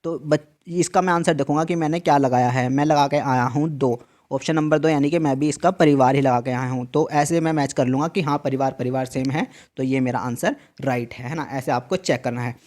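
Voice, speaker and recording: A man's voice: mid-range voice, fairly even intonation, brisk, darkish tone. A man explaining an exam answer key in a tutorial, repeating each instruction and checking in often. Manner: repetitive, emphatic instructions with frequent "theek hai" check-ins. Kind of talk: screen-share tutorial walkthrough.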